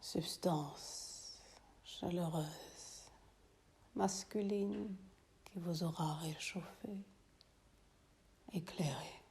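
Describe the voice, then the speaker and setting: A woman teaching yoga nidra, guiding a meditation in a soothing, hushed, breathy delivery that often drops to a near whisper, with long pauses. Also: fairly low pitch. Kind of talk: guided meditation.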